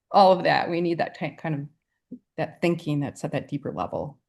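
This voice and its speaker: A middle-aged woman from Wisconsin speaks as an interview guest. Her voice sits low, and she answers in an earnest, reflective manner. Light laughter slips into her speech now and then. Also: big pitch swings, moderate pace, gravelly, darkish tone. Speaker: guest poet and writer.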